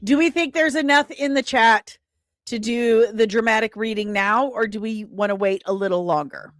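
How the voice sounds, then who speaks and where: Animated woman chatting on a livestream. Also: on the high side, normal speed, dry recording, studio quality. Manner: animated, rambling, self-deprecating. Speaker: livestream host. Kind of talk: casual livestream chat.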